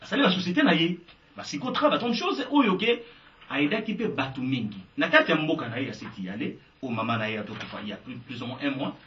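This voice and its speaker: A man's voice: a little high, sing-song, brisk, a bit bright, a little hiss or hum. A man with a Congolese French accent giving emphatic interview answers and mixing in Lingala. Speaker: guest. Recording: echoey, distant, dull sound.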